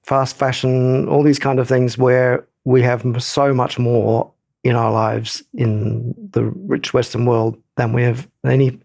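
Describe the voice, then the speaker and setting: A man's voice: mid-range voice, creaky, a little mellow. A man offering conversational analysis as a panellist, in tentative, circling phrases. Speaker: news panellist.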